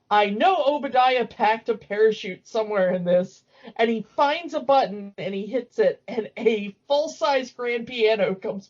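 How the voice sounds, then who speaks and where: A woman with a fairly high voice chats casually as a podcast co-host. She rambles through cartoon recaps in an amused way, and the recording is slightly dull.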